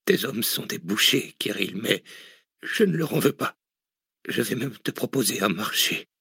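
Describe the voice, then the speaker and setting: A man voicing a gravely wounded old billionaire who speaks in a weak, breathy whisper, slow and mocking. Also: lowish voice, lively intonation, bright, forceful tone, dry recording, clean studio sound, heavily acted. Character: dying old male billionaire, weak, sly, sarcastic.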